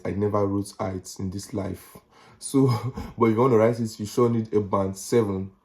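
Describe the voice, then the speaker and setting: A young Nigerian man talks in a relaxed, rambling vlog style with a Nigerian accent. His voice sits lowish and sounds warm and mellow. He circles back over his points and drops in slangy asides. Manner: chatty, repeats himself, slangy asides. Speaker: vlogger. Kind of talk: casual advice vlog.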